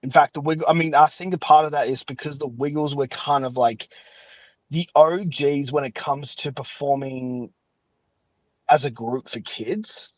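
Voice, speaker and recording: A man's voice: on the high side, unhurried, no room sound, dull audio. A man chatting casually as a podcast guest, giving his opinions in a rambling way and often qualifying what he says. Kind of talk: casual chat.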